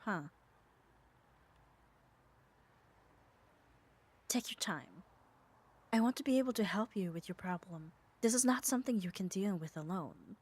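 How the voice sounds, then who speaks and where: A woman's voice: lowish voice, breathy edge. A woman playing a muscular, possessive yet caring yandere in an ASMR roleplay, speaking with coaxing, tender firmness. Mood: calm, coaxing. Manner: coaxing, firm, tender reassurance. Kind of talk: ASMR roleplay audio drama.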